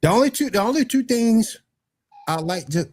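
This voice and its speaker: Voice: mid-range voice, animated, normal speed, darkish tone. A podcast co-host in casual chat who repeats phrases for emphasis.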